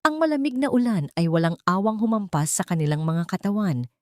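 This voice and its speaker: A woman's voice: medium pitch, animated, a little fast, no echo, clean studio sound. A woman storyteller giving a solemn, emotive scripted narration of a dramatic love story.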